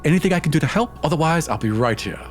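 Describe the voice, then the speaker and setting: A man's voice: on the high side, animated, rapid-fire, faint music behind, voice pushed up, close to an everyday voice. A man voicing a courtly, eager, blue-scaled male mage who talks in a florid, polite, theatrical way. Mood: courteous, eager.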